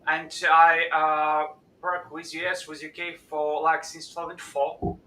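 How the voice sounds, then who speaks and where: Non-native male host, halting delivery, thin bright voice, echoey room mic. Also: fairly high pitch, monotone. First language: not English. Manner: halting, many uh fillers. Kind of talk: live stream discussion. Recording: echoey room with faint noise.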